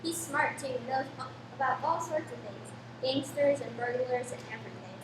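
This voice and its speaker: A woman acting an eager, chatty young boy in a radio play, naive and excitable. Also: high voice, normal speed, close to an everyday voice. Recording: echoey room with clear noise.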